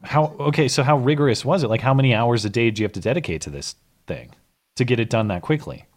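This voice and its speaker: Wry male call-in host, lively pitch. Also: quick pace. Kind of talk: casual call-in chat.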